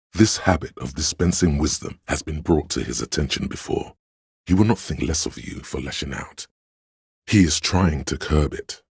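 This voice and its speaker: A man playing a solemn, all-knowing inner-voice narrator in a video game, with a gravelly, husky voice and a measured, literary delivery. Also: extremely low pitch, no echo, studio quality, heavily acted. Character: omniscient, solemn inner-voice narrator of police camaraderie. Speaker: voice actor narrating a character's inner voice.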